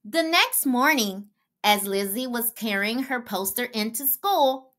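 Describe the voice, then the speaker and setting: Woman reading kids book out loud, real expressive and animated, takes her time. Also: a little high. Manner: expressive, deliberate read-aloud delivery for children. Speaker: children's book reader. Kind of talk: scripted storybook narration.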